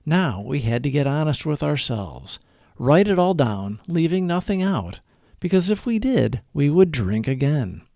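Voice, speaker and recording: A man with a slightly high voice reads a scripted reflection aloud in very lively, varied intonation. His delivery is earnest and measured, each phrase set out deliberately. The sound is close and dry. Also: gravelly, dry recording. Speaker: host of a daily recovery reading.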